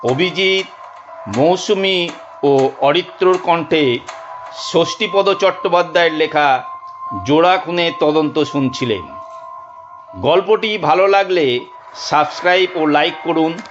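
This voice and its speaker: High-pitched, slow-paced male story narrator. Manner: deliberate, suspenseful storytelling. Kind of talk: dramatic first-person audio story narration. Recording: muffled studio narration over music.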